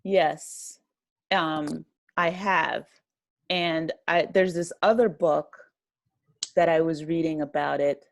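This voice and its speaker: A woman's voice: sits low, steady tone, slow, pauses often, no room sound. A woman speaking as an interview guest in a casual, reflective way, tentative and often correcting herself. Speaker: interview guest, writer and director.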